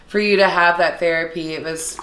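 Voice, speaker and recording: A woman's voice: lowish voice, fairly even intonation, fairly quick, fairly bright tone. A woman podcast co-host chatting casually, offering reflective comments in a conversational, explanatory way. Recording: roomy, echoey sound.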